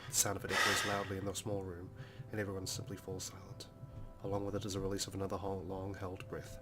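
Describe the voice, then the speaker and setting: A man's voice: deep voice, monotone, fast. A man reading first-person visual novel narration aloud as a dramatic story. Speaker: let's play host reading the game's text. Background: game music.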